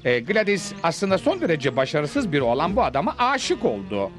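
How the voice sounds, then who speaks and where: A man voicing a pompous, scheming, wealthy older gentleman in a theatrical manner for a Turkish cartoon dub. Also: a little high, huge pitch swings. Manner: pompous, theatrical, drawn-out names. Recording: background music under the voice.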